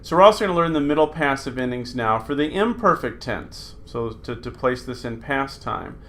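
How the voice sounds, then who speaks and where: A man teaching a recorded New Testament Greek lesson, talking through slides in an unhurried way with many fillers. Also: medium pitch, lively intonation, fairly bright tone.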